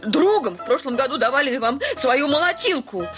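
An actress playing a stubborn, quarrelsome landowner's daughter in a radio farce, insistent and haughty, turning shrill when contradicted. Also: female voice, lively intonation, normal speed. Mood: affronted. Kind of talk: theatrical radio comedy acting. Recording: radio play with clear music behind.

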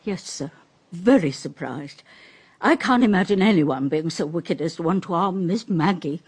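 An actress playing an uneasy, superstitious household servant in a radio drama, with a hesitant, deferential manner. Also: female voice, on the low side, animated, normal speed, darkish tone, husky. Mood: guarded.

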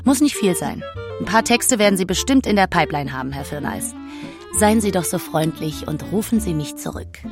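A woman playing a brisk, insistent, sarcastic publisher badgering her author in a German radio play. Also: fairly low pitch, animated, over music. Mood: urgent, coaxing.

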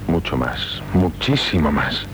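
A man's voice: a bass voice, big pitch swings, slow pace, slight background noise, full character voice, rough recording. An actor playing an impetuous young medieval nobleman in love, with a Castilian Spanish accent, in a declamatory 1970s radio theatre style. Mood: flustered, frustrated. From Spain. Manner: declamatory, earnest, passionate. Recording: 1970s radio play with music.

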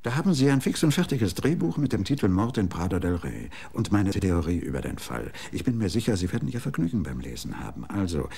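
A sleazy, boastful old screenwriter. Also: male voice, low voice, sing-song, fairly quick, vocal fry, few pauses, dark tone, slightly rough, heavily acted. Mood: persuasive. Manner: pompous, ingratiating. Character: boastful aging male screenwriter, sleazy and self-pitying. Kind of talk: radio drama acting.

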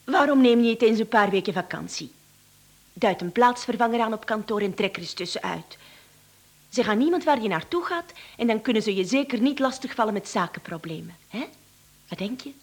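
High, animated, placating woman. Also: fairly quick. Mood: coaxing, concerned. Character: concerned, placating woman reasoning with an agitated man. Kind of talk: radio play acting.

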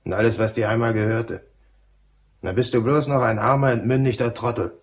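An actor playing a cynical, gruff prison cellmate in a radio drama, with a colloquial, mocking, know-it-all manner. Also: male voice, mid-range voice, fairly even intonation, brisk, natural voice. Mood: scornful lecturing. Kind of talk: German radio drama acting.

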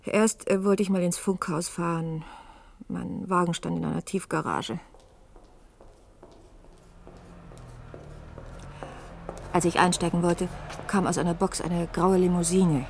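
An actress in a science-fiction radio play, playing a sharp-tongued, strained woman journalist who is ironic and quick-witted. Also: fairly low pitch, fairly even intonation, vocal fry. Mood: reflective, recounting. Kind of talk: German science-fiction radio drama, dialogue and narrated recollection. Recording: hissy vintage radio play recording.

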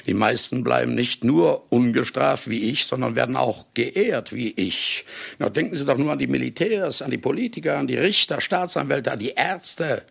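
Gravelly, swooping, urbane murderous man of letters. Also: mid-range voice, animated, full character voice. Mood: lecturing, mocking. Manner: urbane, condescending, theatrically deliberate. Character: celebrated male writer, smugly confessing serial murderer. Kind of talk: German radio play acting.

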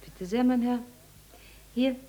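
Highish-voiced coy young barmaid. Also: female voice, takes it slowly, dark tone. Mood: businesslike. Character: saucy, shrewd young barmaid at a village inn. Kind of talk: 1950s German radio drama acting.

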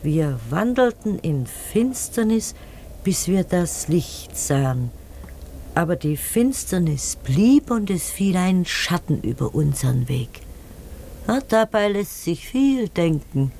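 A woman's voice: fairly low pitch, big pitch swings, takes it slowly, vocal fry, mellow, a little hiss or hum, full character voice. A woman acting in a German radio play as a knowing older village aunt, folksy and full of insinuation. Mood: musing, gossipy.

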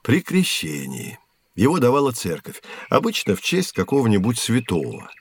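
A man's voice: lowish voice, wildly swooping pitch, moderate pace, heavily acted. An actor playing a patient, knowledgeable man teaching children, explaining things like a storyteller in scripted educational narration. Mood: patient, explanatory. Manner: explanatory, storytelling, clearly phrased.

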